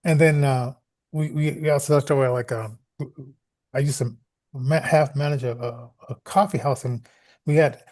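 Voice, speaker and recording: Mellow-voiced American man, chatting casually. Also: medium pitch, fairly even intonation, moderate pace. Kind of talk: casual chat, anecdotes.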